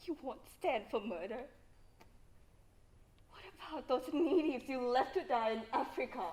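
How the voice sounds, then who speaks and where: Young actress as a high-voiced, distraught young woman. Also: unhurried, a little mellow. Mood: disbelieving. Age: young adult. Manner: dramatic, accusing. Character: distraught, defiant young woman under suspicion. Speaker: student stage actor. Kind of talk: theatrical stage acting.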